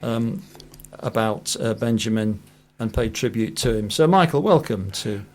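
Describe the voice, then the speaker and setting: A man's voice: lowish voice, measured pace. Man hosting a radio interview, hesitant delivery. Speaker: radio host.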